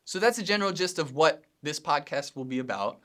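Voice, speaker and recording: A man in his thirties from Pennsylvania, hosting a casual solo podcast from his home studio in a relaxed, rambling way with many ums and self-corrections. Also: a little high, fairly quick. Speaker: podcast host, video editor.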